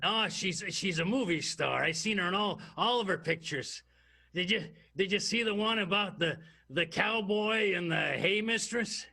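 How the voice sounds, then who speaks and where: A man playing a halting, smitten police detective in an improvised 1920s radio soap. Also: sits high, vocal fry, sharp tone, exaggerated voice. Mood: flustered, awkward. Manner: halting, stammering.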